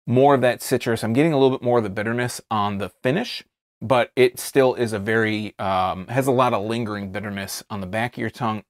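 An American man in his thirties giving a casual, unscripted review to camera, halting and rephrasing as he describes things. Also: on the low side, no echo, studio quality. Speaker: beer reviewer, video host. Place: small indoor studio, seated at a table.

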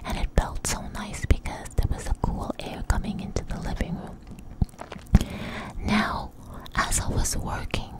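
Woman doing asmr storytime, breathy close-up whisper, takes her time and kinda stops and repeats bits. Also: poor audio. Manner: unhurried, halting, repeats phrases. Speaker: ASMR host. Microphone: close microphone.

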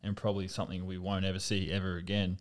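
A man with a fairly low voice hosts a relaxed studio podcast. He talks in an easygoing, chatty way into a desk mic and laughs readily. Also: fast talker. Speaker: podcast host. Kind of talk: casual interview chat. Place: small home studio. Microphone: studio mic on desk stand with headphones.

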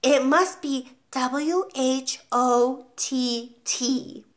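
A woman's voice: very high voice, very animated, slow pace, pitched above the performer's own voice, exaggerated voice. A woman voicing a brave but nervous little schoolboy in a children's story. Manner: eager, earnest, squealing when hurt. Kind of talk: children's storybook read aloud.

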